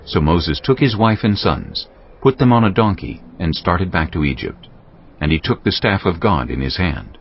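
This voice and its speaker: Casting: man to narrate scripture, deep and husky with expressive melody, slightly dull recording. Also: moderate pace. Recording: muffled, lo-fi.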